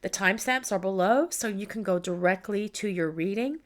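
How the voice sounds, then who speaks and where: A middle-aged woman hosting a tarot reading, speaking in a calm, measured way and gently repeating her questions as she draws each card. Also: mid-range voice. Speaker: tarot reader.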